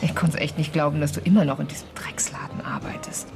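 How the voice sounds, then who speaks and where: An actress playing a guarded, ambitious young former lover in a radio drama, speaking naturally in a cool, defensive manner. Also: female voice, low voice, fairly even intonation, fast, in a whisper. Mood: cool, needling. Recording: radio play with loud music underneath. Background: music.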